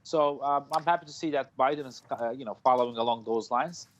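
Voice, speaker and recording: A man's voice: mid-range voice, fairly quick, a little hiss or hum. A man in his forties, a foreign policy analyst, speaking live from home over a video call, hesitant, with many fillers and restarts. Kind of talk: live news interview. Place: room at home. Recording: dull lo-fi video call audio.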